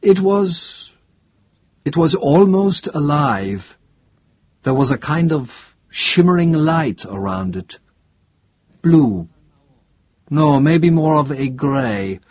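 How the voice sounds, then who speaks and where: A man voicing an older, guarded male chemistry professor in an audiobook, with a halting, deliberate, emphatic delivery. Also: on the high side, expressive, darkish tone, highs cut off, pitched above the performer's own voice. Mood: awed, halting. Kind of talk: audiobook character dialogue.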